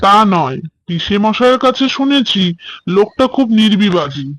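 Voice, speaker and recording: A man's voice: high voice, big pitch swings, moderate pace, a bit bright, no echo. A man playing an ordinary office clerk in colloquial, questioning dialogue for a cartoon story. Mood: persuasive. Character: two male office clerk friends house-hunting, conversing.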